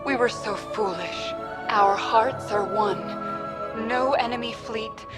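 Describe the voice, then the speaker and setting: A woman's voice: a little high, takes it slowly. A woman playing an earnest, lovelorn heroine in a melodramatic space film within a video game. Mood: tender longing. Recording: loud music over voice.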